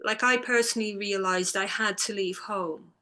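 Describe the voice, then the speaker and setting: Bright-voiced woman author. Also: medium pitch, fairly even intonation, normal speed. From Ireland. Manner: thoughtful, hesitant, with many ums. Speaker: author and guest. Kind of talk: interview answers.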